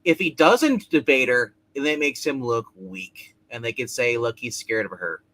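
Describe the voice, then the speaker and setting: A man's voice: medium pitch, lively intonation, normal speed, fairly bright tone. A man chatting casually on a livestream, opinionated and repeating his phrases. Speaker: livestream co-host or guest. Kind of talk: casual political chat.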